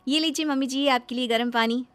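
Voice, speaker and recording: A woman's voice: high voice, a little fast, fairly bright tone, soft background music. A woman playing a polite, eager-to-please young daughter-in-law in a moral-story cartoon. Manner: polite, pleading.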